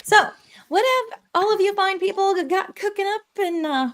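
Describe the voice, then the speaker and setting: A woman's voice: extremely high pitch, lively intonation, normal speed, a bit bright. A woman hosting a casual group livestream chat in a playful, bubbly manner. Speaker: host of a livestream.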